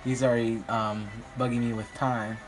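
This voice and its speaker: A slightly raspy young man, a bit sick, commentating his online game battle in a rambling, hesitant way with many ums. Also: mid-range voice, level tone, a little slow, poor audio. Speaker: gaming YouTuber. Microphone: phone filming a screen. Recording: music playing behind.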